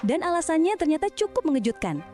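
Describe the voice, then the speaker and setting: Lively high woman narrator. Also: racing, soft background music. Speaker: channel narrator. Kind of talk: scripted narration.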